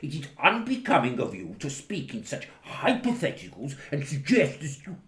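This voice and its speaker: A man playing a placating, reproachful politician in a radio play, with a measured, condescending manner. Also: on the high side, wildly swooping pitch, fairly bright tone, echoey room. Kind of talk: satirical radio play acting.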